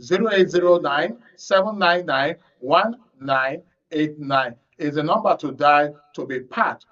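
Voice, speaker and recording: A man's voice: fairly high pitch, a little slow, a bit bright. A man with a Nigerian accent presenting a live radio talk show as its host. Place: radio studio. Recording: distant, slightly muffled studio audio.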